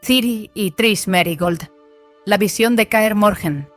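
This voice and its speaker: A woman's voice: medium pitch, slow pace, soft background music, lightly acted. A woman playing a proud, earnest young female apprentice in an audiobook, precise and slightly hesitant. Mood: focused. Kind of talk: dramatized audiobook acting.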